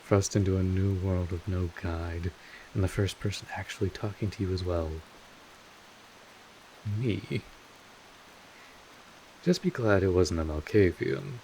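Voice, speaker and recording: A low-voiced vampire mentor, acted out by a man, talks slowly over faint hiss and rain-like noise. He is gruff but caring, rambling through his explanations in a streetwise, informal manner, like a weary guide in an audio drama. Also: darkish tone, low-quality recording. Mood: wry, sympathetic. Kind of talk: scripted audio roleplay addressed to the listener.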